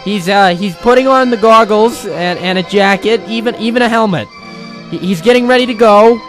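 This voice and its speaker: An actor playing a flustered, stammering field reporter in a comic old-time radio news skit. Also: male voice, high voice, normal speed, soft background music, rough recording. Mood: earnest, stammering. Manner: stammering, excitable.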